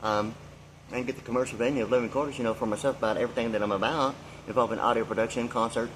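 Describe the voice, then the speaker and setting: A man's voice: medium pitch, steady tone, very fast, a bit bright, slight background noise, rough recording. A man in his sixties delivering a meandering, free-associating monologue full of fillers and loose asides. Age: 60s. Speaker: vlogger. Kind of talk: rambling monologue.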